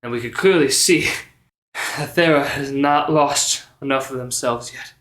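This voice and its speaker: A man playing a remorseful but determined bard adventurer, earnest and halting, in a roomy recording. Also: mid-range voice, a bit bright, lightly acted. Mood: earnest, resolved.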